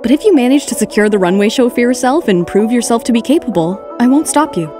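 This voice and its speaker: Woman acting high-voiced, cool, defiant heroine. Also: lively intonation, fast, over music, natural voice. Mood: composed. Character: cool, defiant woman model, composed and cutting. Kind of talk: audio drama acting.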